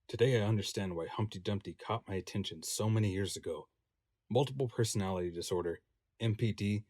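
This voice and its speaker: A man's voice: fairly low pitch, darkish tone, husky, no room sound, studio quality. A man reading scripted audiobook narration as a voice-over narrator.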